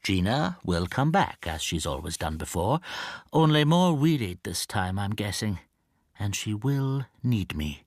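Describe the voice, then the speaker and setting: A mild, wronged bookseller in a radio play, with a fairly low voice. He is lonely and despairing, turning his thoughts over haltingly, as if talking only to himself. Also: sing-song, dry recording, clean studio sound, heavily acted. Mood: brooding, wistful. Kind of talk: radio drama acting with interior monologue.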